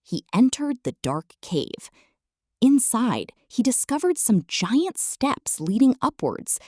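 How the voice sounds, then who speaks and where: Animated woman reading a children's storybook. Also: fairly high pitch, takes it slowly, dry recording, studio quality. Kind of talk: expressive scripted storybook narration.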